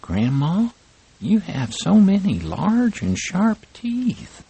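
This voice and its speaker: A man doing a sweet little girl, high. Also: huge pitch swings, slow, creaky, dark tone, husky, no echo, heavily acted. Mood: wondering. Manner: innocent, wondering. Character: small sweet young girl.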